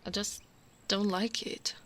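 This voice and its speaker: Woman as a slow, soft-spoken Italian-accented orc guardswoman. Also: mid-range voice, flat delivery. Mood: tender, confiding. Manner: soft-spoken, unhurried, often dropping to a breathy whisper. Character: tall female orc royal guard, devoted, lovestruck, gently teasing. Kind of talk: ASMR romantic monster roleplay.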